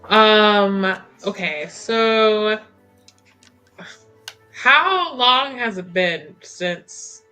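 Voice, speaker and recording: Female roleplaying player. Deliberate pace, bright edge to the voice, casual table talk. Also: mid-range voice, lively intonation, soft background music. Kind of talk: casual questioning game talk.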